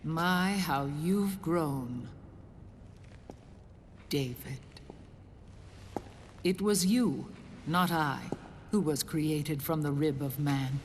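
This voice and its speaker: An actress playing an older woman who leads a resistance group, speaking in a soft, measured, deliberate way in a game cutscene. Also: low voice, a little hiss or hum. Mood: solemn. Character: older woman, calm mysterious rebel leader. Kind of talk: video game cutscene acting. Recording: reverberant game cutscene with music.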